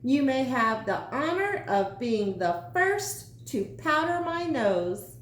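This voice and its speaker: A woman's voice: sits high, sing-song, takes it slowly, full character voice. A woman voicing a pushy, crowing female witch in a children's storybook, demanding and grandly commanding. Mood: commanding, gleeful. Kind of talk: children's storybook read-aloud. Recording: echoey room, faint background noise.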